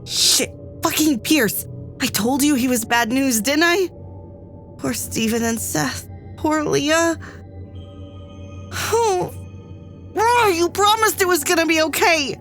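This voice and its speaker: A woman's voice: sits high, slow, pauses often, a bit bright, soft background music. A woman playing an anxious, headstrong academic on a space crew in an audio drama, sweary and argumentative. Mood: distressed.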